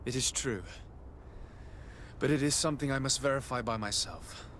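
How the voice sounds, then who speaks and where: An actor playing an earnest, dutiful young noble envoy in a game, speaking sincerely with formal restraint. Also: male voice, mid-range voice, moderate pace, fairly bright tone, a little hiss or hum, close to an everyday voice. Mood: pensive. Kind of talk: game cutscene acting.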